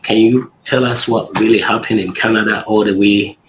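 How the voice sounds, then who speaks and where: A man hosts a casual interview in a fairly low voice. He asks friendly, rambling questions and often restarts them midway. A faint hiss of noise runs underneath the recording. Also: fairly even intonation, moderate pace, a bit bright, rough recording. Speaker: host.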